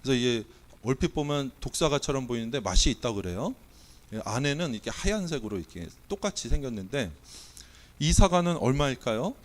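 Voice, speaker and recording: A man's voice: mid-range voice, sing-song, a little fast. Korean pastor guy with a cold, voice kinda weak, joking around with the congregation, quizzing them. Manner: playful, quizzing and auctioning with the congregation. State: has a cold, voice not coming out well. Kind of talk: sermon. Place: church sanctuary.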